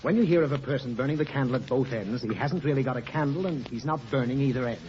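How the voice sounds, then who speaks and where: A man's voice: medium pitch, quick pace, darkish tone, natural voice. A man playing a fussy, easily flustered father reasoning with his small daughter in a 1940s radio comedy. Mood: patient. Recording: muffled, noisy 1940 radio, studio audience.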